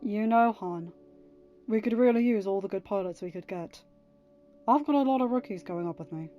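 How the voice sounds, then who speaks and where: A woman voicing a tender, calm, resolute warrior husband in a story reading, earnest and reassuring. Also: medium pitch, normal speed, phone-quality sound, faint music behind, close to an everyday voice. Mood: firm. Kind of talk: dramatised audiobook reading.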